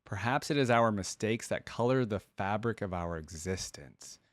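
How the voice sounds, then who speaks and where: A man's voice: fairly low pitch, lively intonation, moderate pace, dry recording, studio quality. A man giving casual, chatty, slangy reaction commentary as a video host.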